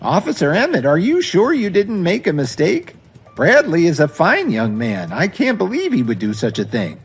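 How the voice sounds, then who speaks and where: Man putting on a shrill, high-pitched haughty old grandmother. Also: huge pitch swings, moderate pace, breathy edge, soft background music, heavily acted. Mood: shocked, insistent. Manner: haughty, insistent. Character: imperious elderly grandmother, cold and dismissive family matriarch. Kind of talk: audio drama acting.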